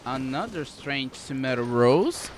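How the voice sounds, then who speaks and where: A man's voice: medium pitch, lively intonation, a little slow, background noise. A man giving casual, chatty playthrough commentary as a gaming host, with playful asides.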